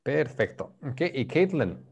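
A man's voice: medium pitch, very animated, slow, gravelly, a little mellow, breathy edge, muffled recording. Patient male teacher on a video call. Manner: patient, prompting students, mixing Spanish and English. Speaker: Spanish teacher. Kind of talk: online group language class.

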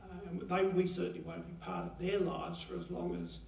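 A man gives a halting, pause-filled eulogy for his mother at a chapel lectern. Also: sits high, little pitch movement, vocal fry, darkish tone, a little hiss or hum. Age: 70s. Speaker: son giving a eulogy. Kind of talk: memorial eulogy. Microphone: lectern mic, heard from a far camera. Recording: roomy chapel echo from far off.

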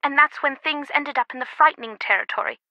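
Casting: female game character, loyal secretive confidante, earnest and measured, fairly high crisp bright voice, dry close sound. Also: no echo, dull audio. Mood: serious, confiding. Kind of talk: video game voice acting.

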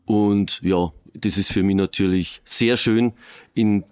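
A man in his late fifties speaks with a Bavarian accent. His voice is a little lower than average. He gives chatty, informal answers as an interview guest. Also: steady tone, slow, darkish tone, clean tone. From Regensburg, Bavaria. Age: late 50s. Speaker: police crime prevention officer, podcast guest.

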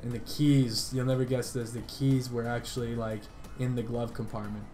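A man talks casually in a mid-pitched voice, full of slang and swearing. He rambles through his sentences as if chatting on the phone, with music faintly behind him. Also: flat delivery, moderate pace, dark tone. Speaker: gaming video creator making a prank call.

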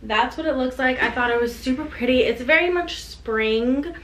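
A woman's voice: high voice, normal speed, a bit bright. A woman in her twenties chatting casually to the camera on her desk as she shows off a clothing haul. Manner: chatty, upbeat, showing items to camera. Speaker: reseller vlogger. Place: small home room with clothing rack. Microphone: camera on desk at close range. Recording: echoey room, faint background hiss.

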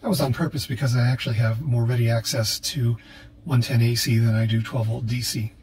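An older man talks through his work in a steady, matter-of-fact voice, neither high nor low. He explains things plainly, like a patient how-to narrator. A light hiss sits under the recording. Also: brisk. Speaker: vlogger.

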